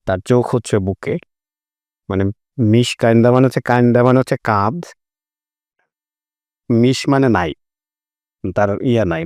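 A man's voice: medium pitch, darkish tone, dry recording, studio quality. A man with a Bangladeshi accent, casually telling anecdotes in a group chat.

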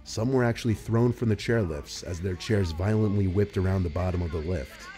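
A man reading scripted narration in a measured, serious manner. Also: low-pitched. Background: music.

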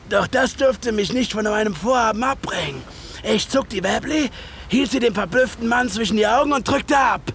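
Radio drama narrator, male actor as confused would-be killer: slow, halting, theatrical, highly animated. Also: gravelly, slight background noise, heavily acted. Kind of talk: dramatic first-person radio play narration.

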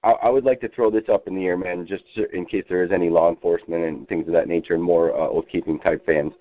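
A man talking informally about politics as an activist on a phone conference call, rambling and insistent, with halting, repetitive phrasing. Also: low-pitched, fairly even intonation. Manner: halting, repetitive, insistent, peppered with you know. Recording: muffled narrowband phone conference line.

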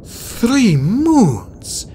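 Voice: medium pitch, wildly swooping pitch, extremely slow, creaky, bright, forceful tone, husky, pitched above the performer's own voice, exaggerated voice. A narrator voicing a nervous, bookish young male dragon in an audiobook, urgent and easily flustered. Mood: amazed. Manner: stammering, incredulous, urgent.

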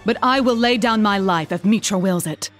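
A woman's voice: medium pitch, normal speed, soft background music, lightly acted. An actress playing a devout young female holy knight in a video game, earnest, formal and resolute. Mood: solemn resolve.

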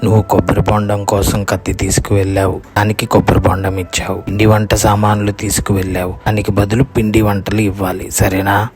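A man as a deep-voiced old village landowner. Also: little pitch movement, fairly quick, a little mellow, soft background music, close to an everyday voice. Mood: stern, admonishing. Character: grasping old village landowner, expects payback for favours. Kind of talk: moral story cartoon dialogue.

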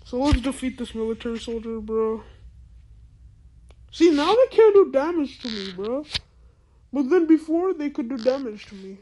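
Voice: sits high, animated, moderate pace, long pauses, dark tone, rough recording. A female-sounding voice through a voice changer, giving casual gameplay commentary in an exclamatory, incredulous, slangy and repetitive way. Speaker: gaming video host.

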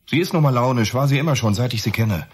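A man's voice: medium pitch, lively intonation, fast talker. An actor playing an evasive man under suspicion in a German radio drama. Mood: soothing, evasive. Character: evasive, indignant male suspect, an architect. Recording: clearly noisy background with clicks.

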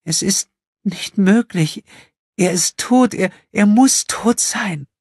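Ein Mann, der in einem Hörbuch eine verängstigte, flehende junge Frau spricht, die stockend und stammelnd redet. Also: male voice, high voice, darkish tone, breathy edge, dry recording, clean studio sound, pitched above the performer's own voice, full character voice. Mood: shocked, stammering. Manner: stammering, halting. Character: frightened, pleading young woman, stammering and defensive. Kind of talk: audiobook character acting.

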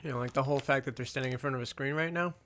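Casual young male streamer, mid pitch, loose rambling delivery, faint snack-bag crinkles behind. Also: very fast, fairly bright tone, a little hiss or hum. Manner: rambling, filler-heavy, talks to himself. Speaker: movie commentary streamer. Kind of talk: casual live movie commentary. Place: at home.

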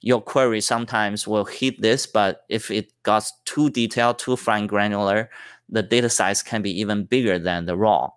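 A man's voice: on the low side, fairly even intonation, moderate pace, clear, resonant tone, no room sound, studio quality. A man giving a technical conference talk over slides, explaining step by step with many fillers and restarts. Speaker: tech presenter.

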